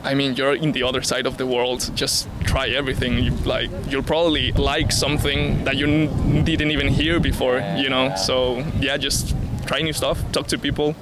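A man's voice: medium pitch, flat delivery, moderate pace, few pauses, background noise. A young man from Mexico answering street interview questions outdoors into a handheld mic, thoughtful and full of fillers. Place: outdoor city plaza. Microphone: handheld mic held by interviewer.

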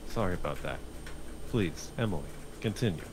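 A man's voice: deep voice, lively intonation, normal speed, a little mellow, slight background noise. A man playing a terse, questioning police detective in a table read of a film script.

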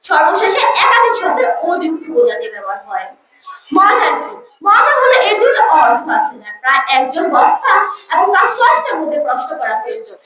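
A woman's voice: very high-pitched, wildly swooping pitch, fairly quick. A young schoolgirl giving a formal, declamatory, rehearsed debate speech. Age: child or teenage school student. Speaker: school debate first speaker. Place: school debate event, room. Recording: echoey room, distant mic, noisy background.

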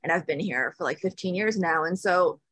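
Animated woman in her thirties, dry close sound. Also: fairly low pitch, no room sound. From Japan, raised in the US Midwest. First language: Japanese. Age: 30s. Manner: animated, quick, many "like"s. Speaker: filmmaker. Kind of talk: casual conversation. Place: room at home. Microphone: video call.

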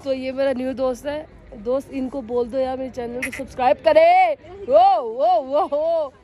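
A young man from Pakistan, vlogging casually on a phone held at arm's length outdoors, excitable and quick to squeal and shout when startled. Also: very high voice, a little hiss or hum. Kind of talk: casual travel vlog narration. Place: outdoors on a mountain roadside. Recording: outdoor phone audio with crowd chatter.

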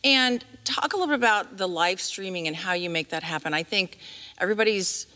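A woman's voice: mid-range voice, a little fast, pushed and bright. A middle-aged woman in her fifties hosting a promotional community interview on a theatre stage. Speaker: interviewer, host. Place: large dark theatre stage, indoors. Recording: echoey hall reverb.

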